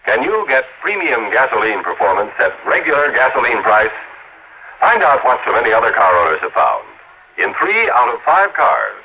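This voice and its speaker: A man's voice: medium pitch, lo-fi sound. A man announcing in the scripted style of a 1950s radio broadcast, reading like a commercial and credits announcer. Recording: noisy, band-limited 1950s radio recording.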